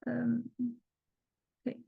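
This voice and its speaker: A woman giving a step-by-step software tutorial as a lecturer, hesitant and halting, often checking in with listeners. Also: narrow, tinny audio. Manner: hesitant, halting delivery with repeated check-ins.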